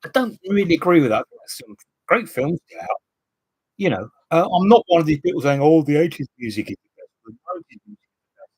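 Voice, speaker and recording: Husky, chatty man in his fifties. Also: a little high, very animated, quick pace, darkish tone, no echo, poor audio. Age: 50s. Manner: rambling, chatty, reads and answers viewer comments. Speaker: livestream host. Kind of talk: casual live-stream chat.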